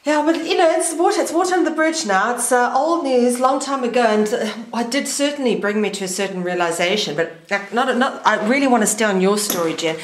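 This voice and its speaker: A woman hosting a casual interview, enthusiastic and animated in her reactions. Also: on the high side, a little fast, few pauses. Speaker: interviewer, host. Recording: echoey room.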